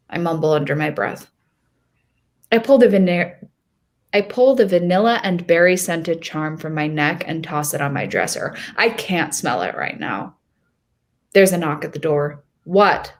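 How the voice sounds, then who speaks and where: A woman narrating a short story in the first person as a lovesick, insecure young woman, earnest and expressive. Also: on the low side, measured pace. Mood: frustrated, hurt.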